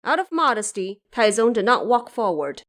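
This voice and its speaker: Woman narrating an old tale, measured. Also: on the high side, sing-song, a bit bright. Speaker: narrator of an audio drama. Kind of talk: scripted storytelling narration.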